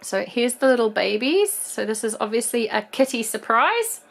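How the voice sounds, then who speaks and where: Chatty New woman, slightly croaky. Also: fairly high pitch, very animated, normal speed. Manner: chatty, gushing over toys, frequent ums. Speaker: toy collector vlogger. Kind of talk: casual haul show-and-tell.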